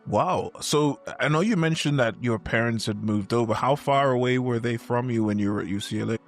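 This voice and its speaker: A man's voice: mid-range voice, brisk, soft background music. A man hosting an interview, asking questions and giving short encouraging responses. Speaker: host, interviewer.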